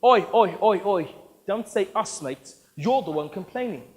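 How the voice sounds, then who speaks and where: A man voicing a brash, touchy actor who talks loudly and interrupts others. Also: high voice, animated, unhurried, in a large hall. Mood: mocking. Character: brash British male actor, cocky and touchy.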